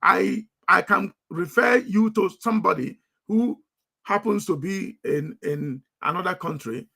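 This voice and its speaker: A middle-aged man delivering a live broadcast in a strong, commanding voice. His tone is gravelly and a little husky, sitting somewhat high, with a sharp, forceful edge. He repeats phrases for emphasis as he builds his points. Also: a little slow.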